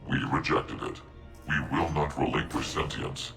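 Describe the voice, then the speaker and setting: Low, measured machine soldier. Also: male voice, gravelly, fairly bright tone, roomy sound, heavily acted. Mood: calm, resolute. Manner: measured, formal, emotionless. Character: calm, logical male-voiced machine intelligence soldier. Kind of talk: video game dramatic acting. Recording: game dialogue over music.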